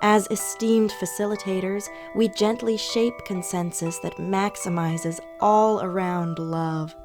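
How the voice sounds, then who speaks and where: A woman's voice: medium pitch, steady tone, slow pace. A woman playing a serene, beatific cult facilitator who speaks in formal, chanting phrases in a radio play. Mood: beatific, preachy. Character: serene female cult facilitator, blissfully welcoming, preachy. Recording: radio play with music behind.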